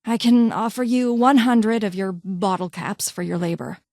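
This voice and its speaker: A woman's voice: mid-range voice, little pitch movement, normal speed, a little mellow, no echo, clean studio sound, natural voice. A woman voicing a brusque, condescending vault doctor and scientist in a video game, with clipped, formal, haughty delivery. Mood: dismissive. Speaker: voice actor in a game mod. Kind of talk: scripted game character dialogue.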